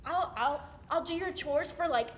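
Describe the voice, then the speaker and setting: Young woman acting gushing high-pitched teenage girl. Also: moderate pace, lightly acted. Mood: pleading. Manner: gushing, wheedling, dramatic. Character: excitable teenage girl pleading with a sibling for a ride. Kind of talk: amateur dramatic monologue.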